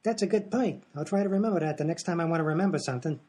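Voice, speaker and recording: A man's voice: on the high side, racing, a little mellow, lightly acted. A man playing a dim, placid, forgetful professor in a comedy radio play, with a muddled, hesitant, guileless manner. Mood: earnest, muddled. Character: dim, amnesiac male professor, placid and literal-minded.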